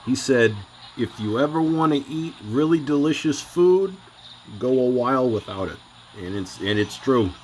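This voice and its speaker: A man's voice: mid-range voice, lively intonation, moderate pace, darkish tone, slight background noise, low-quality recording. Man rambling off to the side. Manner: rambling, hesitant. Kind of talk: casual aside.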